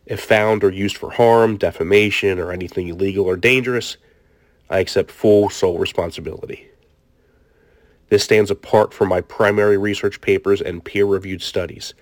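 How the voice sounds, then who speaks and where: A man's voice: on the low side, fairly even intonation, dry recording, studio quality, close to an everyday voice. A man playing a self-justifying research doctor in his late forties, formally reading a diary in an audiobook. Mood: clinical, explanatory. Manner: formal, measured explaining. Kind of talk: scripted audiobook diary monologue.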